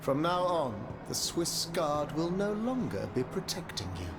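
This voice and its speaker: An actor playing an imperious, suspicious King of France in a video game cutscene, speaking in a measured, formal way. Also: fairly high pitch, very animated. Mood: grave, commanding. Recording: music behind the voice.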